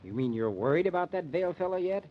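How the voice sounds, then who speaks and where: An actor playing a gruff, skeptical small-town sheriff in an old-time radio drama, folksy and plain-spoken, with an American accent. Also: male voice, sits high, animated, fast talker, slight background noise. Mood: gruff, dismissive. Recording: dull, lo-fi old radio recording.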